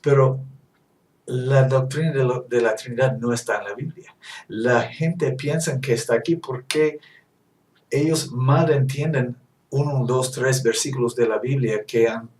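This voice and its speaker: A man whose first language is English delivers a teaching sermon, earnest and halting, often correcting himself mid-phrase. His voice sits at an ordinary pitch. It is recorded in a roomy, echoing space from some distance off the mic. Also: fairly even intonation, darkish tone. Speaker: preacher. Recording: echoey room, distant mic.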